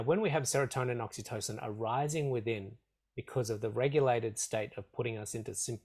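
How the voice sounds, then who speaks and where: Croaky man, husky voice. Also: fairly low pitch, darkish tone. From Australia. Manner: earnest, measured, repeating phrases for emphasis. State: slightly croaky from a tickle in the throat. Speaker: meditation teacher guest. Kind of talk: explanatory interview answers.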